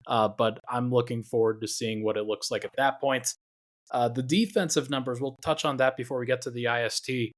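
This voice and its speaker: A man hosting a basketball podcast, talking in a relaxed way and thinking aloud with lots of fillers. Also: mid-range voice, fairly quick. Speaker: podcast host. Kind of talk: casual basketball podcast chat.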